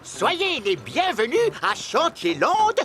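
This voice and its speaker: A man's voice: very high-pitched, wildly swooping pitch, takes it slowly, heavily acted. A man voicing an exuberant potato showman in a children's cartoon, showy and grandiose like a theme park announcer. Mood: grand welcome. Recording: music behind the voice. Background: music.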